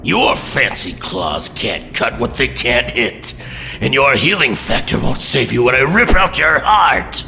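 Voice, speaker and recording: A man playing a savage, sadistic mutant villain in an audio drama, taunting with a snarling, menacing rasp. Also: medium pitch, expressive, pushed and bright, full character voice. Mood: vicious. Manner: menacing, mocking, drawn-out. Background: dramatic music.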